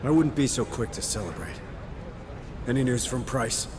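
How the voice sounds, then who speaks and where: A man's voice: medium pitch, lively intonation, normal speed, background noise, lightly acted. A man playing a weary, stubborn space marine sergeant in a video game cutscene, speaking tersely and dryly. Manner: terse, dry.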